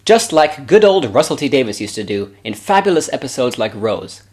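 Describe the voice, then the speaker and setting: A man performing a scripted comedy parody in a sarcastic, deadpan manner. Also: mid-range voice, moderate pace. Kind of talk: scripted comedy sketch voices and commentary.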